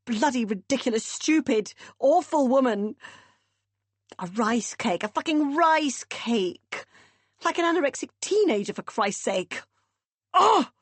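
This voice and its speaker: A woman's voice: mid-range voice, animated, a little slow, pauses often, no echo. Woman reader doing a middle-aged mum, exasperated and cross, dry close sound. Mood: furious. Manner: angry outbursts, then weary sighing. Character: middle-aged British mother, exasperated, furious at family.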